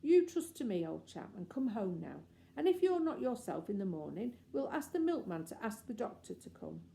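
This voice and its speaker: A woman voicing a grave, sensible elder brother, a boy talking in a measured, patronising way in a storybook. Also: mid-range voice, very animated, creaky, mellow.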